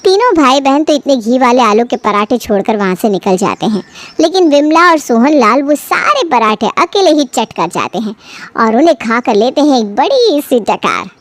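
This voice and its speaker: A woman's voice: on the high side, very animated, normal speed, no room sound. A woman giving scripted narration for a story.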